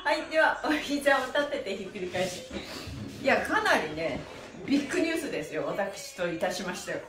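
A middle-aged woman leading a livestreamed stretching lesson in a cheerful, animated manner, with sing-song rhythmic cues, recorded in a small room a few steps from the camera. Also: medium pitch, a little fast. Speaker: stretching instructor and mime teacher. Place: small indoor room with bare walls. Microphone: camera a few steps away. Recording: roomy echo, faint music.

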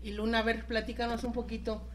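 A woman's voice: on the high side, little pitch movement, quick pace, a little hiss or hum. A woman with a Mexican accent hosting a conversational radio interview. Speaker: radio host.

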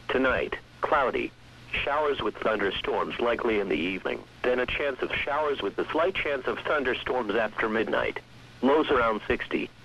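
A synthetic American male voice reading a scripted weather bulletin in a measured, impersonal manner. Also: mid-range voice, fairly bright tone, slightly rough. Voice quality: synthetic text-to-speech voice. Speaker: weather radio announcer. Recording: dull lo-fi weather radio broadcast.

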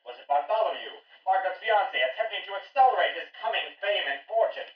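An actor playing a dramatic old-time radio announcer, speaking in a theatrical, suspenseful way. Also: male voice, high-pitched, lively intonation, fast talker. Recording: dull, echoey, distant radio-play sound.

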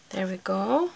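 A woman, slightly hoarse, chatting casually while she works through a crafting tutorial. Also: mid-range voice, background noise. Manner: chatty, thinking aloud, light laughs. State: slightly hoarse from a sore throat. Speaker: craft channel host.

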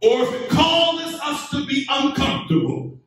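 A middle-aged man preaching a sermon in unhurried, deliberate phrases, his voice sitting unusually high for a man. He builds to emphatic peaks that invite call-and-response. The sound is heavily echoey, as if heard from the back of a church sanctuary. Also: very animated, a bit bright, far from the mic. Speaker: pastor. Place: church sanctuary, indoors, medium-sized.

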